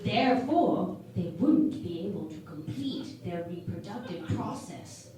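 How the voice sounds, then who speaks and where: A woman playing an earnest, analytical starship science officer in a sci-fi parody radio play, speaking deliberately and matter-of-factly. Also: on the low side, expressive, crowd noise. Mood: grave, explanatory. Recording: very echoey room, distant mic, faint noise.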